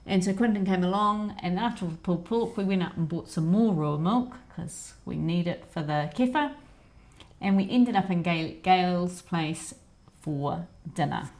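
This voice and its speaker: Middle-aged lady telling stories on a stream, lowish voice with some fry, chatty. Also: normal speed, a little mellow. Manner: chatty storytelling, frequent laughs and backchannels. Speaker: co-host of a weekly livestream. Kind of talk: casual chat.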